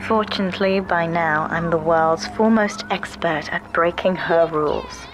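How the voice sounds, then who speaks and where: A woman playing a resolute, rebellious young daughter in a video game, speaking with deliberate, self-assured defiance. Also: lowish voice, background noise, lightly acted. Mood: quiet defiance. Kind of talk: dramatic video game voice acting.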